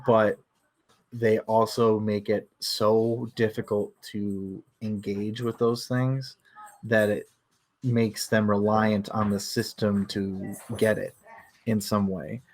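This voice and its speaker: Guy chatting on a book club stream, analytical, working stuff out out loud. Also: on the low side, flat delivery, slow, low-quality recording. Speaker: book discussion host. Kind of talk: casual book discussion on a livestream.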